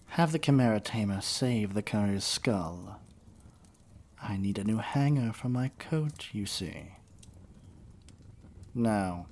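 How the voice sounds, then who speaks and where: Guy doing an evil king for asmr, real slow and haughty, condescending and theatrical, bit of hiss on the recording. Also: on the low side, darkish tone. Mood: irritated, cruel, then haughty, boastful. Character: haughty evil sorcerer king, menacing, imperious, vain. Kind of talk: ASMR roleplay acting.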